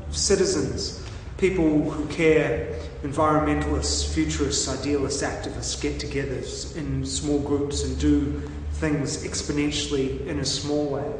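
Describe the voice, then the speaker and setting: Slow, halting New man in an echoey room. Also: fairly high pitch, fairly even intonation. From New Zealand. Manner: slow, halting, thinking aloud with many ums. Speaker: discussion participant. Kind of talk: casual brainstorming chat. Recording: echoey room with long reverb, from a distance, faint noise.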